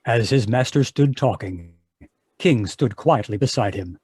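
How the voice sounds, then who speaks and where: A man's voice: mid-range voice, measured pace, a little mellow, husky, no room sound. Dry-sounding male radio drama narrator. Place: home, live online video performance.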